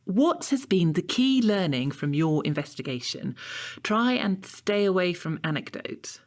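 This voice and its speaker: Prim art tutor in an audio comedy, lowish voice, very up and down, formal and cool. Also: female voice, sing-song. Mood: coolly probing. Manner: formal, measured, pedagogic. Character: prim, patient female art tutor, coolly evasive. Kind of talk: comic audio drama acting.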